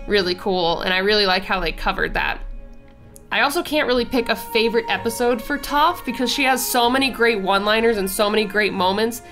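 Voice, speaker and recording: A woman's voice: medium pitch, sharp tone. A young woman hosting a casual art channel, talking as she draws, slightly nasal and congested from a cold, with a chatty, rambling way of talking. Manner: chatty, rambling fan talk. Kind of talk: casual chat over drawing.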